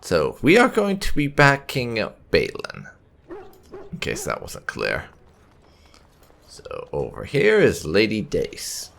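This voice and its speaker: A man hosting a video game playthrough, explaining things in halting, unscripted commentary as he thinks aloud. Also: fairly low pitch, huge pitch swings, gravelly, a bit bright. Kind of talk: unscripted let's-play commentary.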